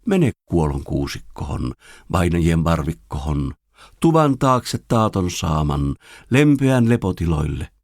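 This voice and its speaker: A man's voice: sits low, vocal fry, a little mellow, no echo, heavily acted. Man acting sorrowful tender mother, dry sound. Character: sorrowful, tender mother sending her son away.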